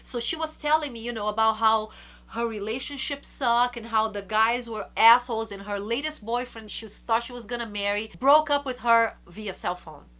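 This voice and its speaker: Chatty congested woman vlogger, bright voice. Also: a little high. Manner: earnest, chatty, slows to stress words. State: congested from a flu. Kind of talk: casual advice to camera.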